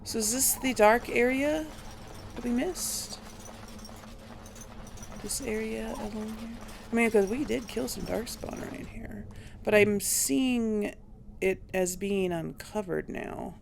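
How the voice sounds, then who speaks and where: A woman's voice: on the high side, slow pace, creaky, long pauses, a little hiss or hum. A woman doing casual video game commentary, musing aloud and questioning herself as she plays. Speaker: let's play host.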